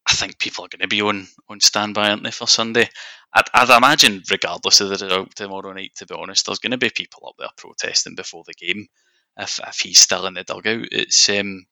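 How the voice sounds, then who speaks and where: Young Scottish man, podcast guest and football fan. Very bright, forceful edge, dry recording, conversational. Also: lowish voice, steady tone, fast talker, no echo, poor audio. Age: young adult. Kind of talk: casual chat.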